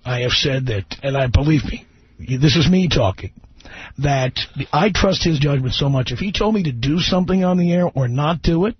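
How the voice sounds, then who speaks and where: A man's voice: fairly high pitch, animated, moderate pace, breathy edge. A middle-aged man hosting a live radio talk show, brash and joking, teasing his own studio staff. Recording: dry, dull radio broadcast.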